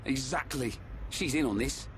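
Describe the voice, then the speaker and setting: A man's voice: mid-range voice, moderate pace, a little hiss or hum, lightly acted. A man voicing a brash, blunt young fighter in a video game, talking informally. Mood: angry, suspicious. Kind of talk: dramatic video game voice acting.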